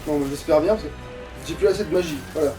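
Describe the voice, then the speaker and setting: A man's voice: fairly high pitch, fast. A man playing a video game and commenting casually to a friend, sweary, grumbling and sarcastic. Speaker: let's player, playing the game. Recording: distant mic, game music behind.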